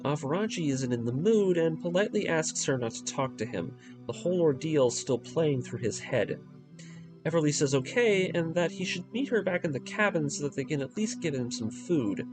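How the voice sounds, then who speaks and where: A man's voice: fairly high pitch, lively intonation, normal speed, low-quality recording. A man giving enthusiastic video essay commentary and recaps, with an emphatic, casual fan delivery. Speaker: video creator reviewing an anime radio drama. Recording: voice-over with prominent music underneath. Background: music.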